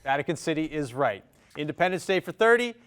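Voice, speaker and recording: A man with a Maine accent hosting a televised high school quiz show, reading questions briskly and reacting quickly to the answers. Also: very animated, fairly bright tone, clear, resonant tone. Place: television studio.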